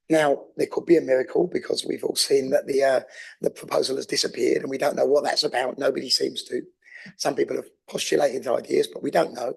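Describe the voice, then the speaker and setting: Male councillor, hoarse and raspy after a cold, gravelly, earnest persuasive debate speech. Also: medium pitch, rough recording. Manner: earnest, persuasive, with some restarts. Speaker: councillor moving a motion. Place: council chamber.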